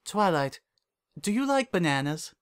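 Man voicing a gentle regal pony princess, pitched up. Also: big pitch swings, measured pace, clear, resonant tone. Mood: gracious. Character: calm, kindly royal pony princess and mentor, female. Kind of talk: storybook character dialogue.

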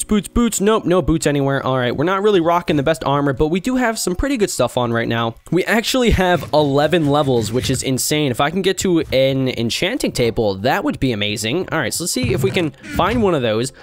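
A young man with a hoarse voice from a sore throat, chatting excitably through casual gameplay commentary. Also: a little high. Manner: chatty, excitable, talks to opponents. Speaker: gaming YouTuber.

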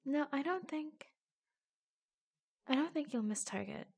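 A woman playing an attentive, affectionate office assistant in a soft-spoken ASMR roleplay, breathy and hushed, with gentle hesitant pauses, close to the microphone. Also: high-pitched, expressive, exaggerated voice. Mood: reassuring.

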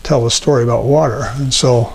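An older man demonstrating a watercolor painting as an instructor, talking himself through each step with frequent ums and pauses. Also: medium pitch, creaky, slight background noise. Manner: relaxed, thinking aloud with many ums. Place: art studio at a painting table.

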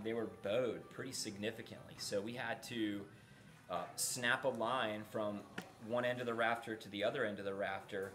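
A middle-aged North American man giving an informal, practical how-to explanation as a builder, in a plain-spoken way. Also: medium pitch. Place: open timber-frame building site. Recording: clear background noise on an open site.

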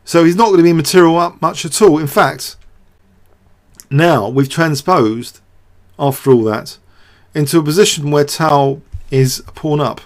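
Male game commentator, slightly high voice, unscripted and hesitant, reasoning aloud as he analyses. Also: measured pace. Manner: hesitant, thinking aloud with repeated words. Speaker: host of a chess show.

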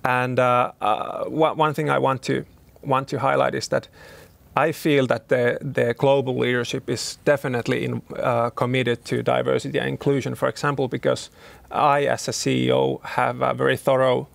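A man's voice: medium pitch, little pitch movement, moderate pace, vocal fry, dry recording. Guy in his 30s, ceo on a studio panel, stop-start answers, very dry close sound. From Finland. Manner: hesitant, many restarts and fillers. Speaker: company CEO, panel guest.

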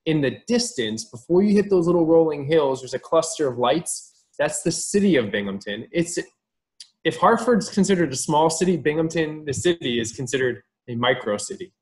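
A man's voice: fairly high pitch, animated, normal speed, darkish tone. A man, a college admissions officer, presenting on a video call panel in a friendly, conversational way.

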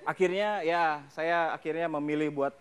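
A man's voice: on the high side, steady tone, normal speed. A man doing a slangy, self-mocking stand-up routine on a TV stage and acting out little scenes. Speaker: stand-up comedian. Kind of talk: stand-up comedy monologue with acted bits. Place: large TV studio stage with audience. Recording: TV studio with crowd laughter and noise.

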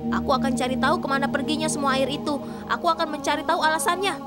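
Woman as small boy hero, very high, earnest, commanding, cartoon dub, loud music. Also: fairly even intonation, rapid-fire, natural voice. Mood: determined. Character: brave, earnest little boy robot hero. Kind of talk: dubbed children's cartoon acting.

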